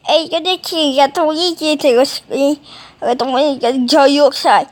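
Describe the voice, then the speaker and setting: A woman talking casually in a halting, rambling way, with mumbled phrases, as in an improvised home video. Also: high-pitched.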